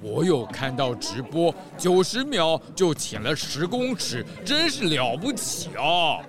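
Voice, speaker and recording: Excitable animal guest, high-pitched, swooping and exclamatory, children's mystery with music. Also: male voice, a little slow, crowd noise. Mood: impressed. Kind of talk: children's audio drama.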